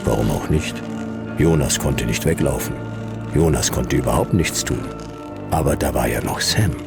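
An actor playing a hardboiled private detective in a sci-fi radio drama, laconic and dry, with a gravelly voice. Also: male voice, rumbling low, normal speed, over loud music. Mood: laconic, deadpan. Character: hardboiled male private detective narrating his own sci-fi case. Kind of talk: radio drama narration and dialogue.

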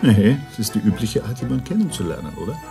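An actor playing a forward, flirtatious minor diplomat in a radio play, playful and casually probing. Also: male voice, fairly low pitch, very animated, quick pace, gravelly, over music.

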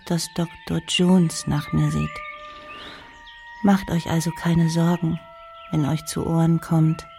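A woman playing a gentle, modest young lady in a German radio play, softly reading in a measured way. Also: low-pitched, gravelly. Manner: soft, measured letter reading.

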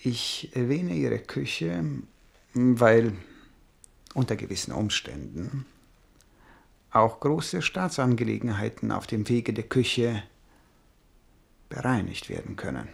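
A suave courtly plotter for a radio play. His voice is raspy and full of fry, at a middling male pitch. He savours his phrases like a connoisseur, with cold insinuation underneath. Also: big pitch swings, slow pace, long pauses, exaggerated voice. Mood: coldly scheming. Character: smooth scheming Italian envoy, art connoisseur, coldly plotting. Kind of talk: German radio drama acting.

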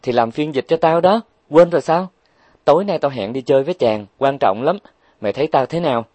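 A man voicing a brash, boastful young woman who is rich and bossy, talking loudly and bragging. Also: medium pitch, lively intonation, brisk, no echo, close to an everyday voice.

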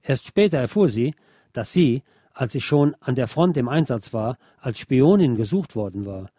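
Ein Mann, der als Sprecher einer Radiosendung einen literarischen Sachtext auf Deutsch mit deutschem Akzent vorliest. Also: male voice, mid-range voice, expressive, moderate pace, warm tone, breathy edge, no room sound, clean studio sound. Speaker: radio programme presenter reading a book chapter. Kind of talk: scripted narration, literary reading.

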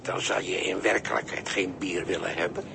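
A man's voice: low voice, normal speed, creaky. A man acting a cryptic, mocking sailor who preaches philosophy, in a 1960s radio drama. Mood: taunting, preaching. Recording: noisy 1960s radio recording.

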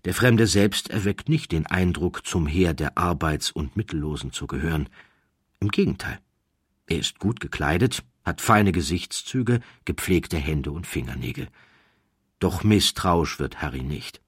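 Very deep, husky male narrator. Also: animated, a little slow, no echo, studio quality. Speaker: audiobook narrator. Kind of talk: scripted literary narration.

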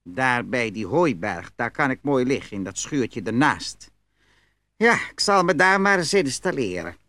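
Bright, animated poor little farmer. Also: male voice, medium pitch, measured pace, no room sound, full character voice. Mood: plain, wistful. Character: poor cunning small farmer, cheerful, naive-sounding. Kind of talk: fairy tale audio play.

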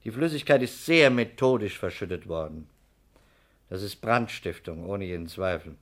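An actor playing a calm, polite and methodical male investigator in a 1960s German radio crime play. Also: deep voice, normal speed. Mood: methodical, absorbed. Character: calm, methodical male fire investigator, busy and slightly absent-minded. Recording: noisy 1960s radio recording.

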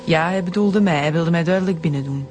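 An actress playing a frank, smitten young Flemish woman being questioned in a radio crime drama, chatty and expressive. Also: fairly low pitch, fast talker, soft background music, close to an everyday voice. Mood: animated recounting.

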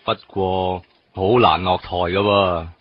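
A dry, teasing boss. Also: male voice, low voice, steady tone, slow. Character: gruff but kindly male boss. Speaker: the hero's boss. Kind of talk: Cantonese radio drama acting. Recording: dull lo-fi radio recording.

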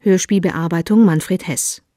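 A female radio announcer reads credits slowly and neutrally in a lowish, mellow voice. The delivery is formal and scripted, each item set apart, in a very dry acoustic. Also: no echo.

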